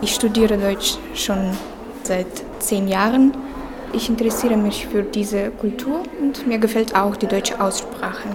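A woman's voice: mid-range voice, measured pace. Young woman over room noise. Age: young adult. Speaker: language student. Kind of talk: self-introduction. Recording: clear room noise behind.

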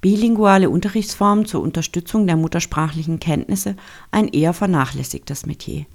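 A reporter reads a scripted feature narration in a measured, formal way. The voice sits in a middle pitch and keeps an even, composed delivery. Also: vocal fry. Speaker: radio reporter.

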